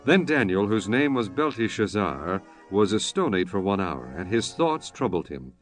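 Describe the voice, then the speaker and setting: A man narrating dramatized scripture in a solemn, formal, measured manner. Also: lowish voice, sing-song, faint music behind.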